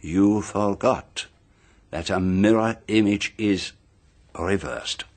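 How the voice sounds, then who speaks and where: Shrewd radio drama detective, low slightly husky voice, very deliberate, pauses for effect. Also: male voice, darkish tone. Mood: grave, methodical. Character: shrewd, composed male detective laying out a murder case.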